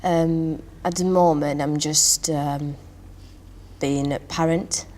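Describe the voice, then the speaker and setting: Low, flat-toned woman with a Latvian accent. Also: takes it slowly. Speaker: housing tenant in a peer interview. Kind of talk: casual interview chat. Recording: faint background noise.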